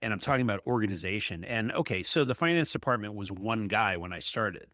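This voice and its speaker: Low-voiced man hosting a business interview. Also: lively intonation, fast talker, fairly bright tone, dry recording. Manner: emphatic, anecdotal, frequent rhetorical "right?". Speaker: podcast host, apartment investor.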